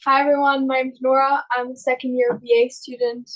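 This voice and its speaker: A woman's voice: high voice, level tone, brisk, fairly bright tone, poor audio. A young woman from Belgium speaking unscripted as a student introducing herself on a panel. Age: young adult.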